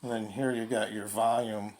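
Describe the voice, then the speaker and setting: A man giving casual, halting, low-key unboxing commentary, thinking aloud as a hobbyist reviewer. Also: flat delivery, a little mellow. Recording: clearly noisy home video recording.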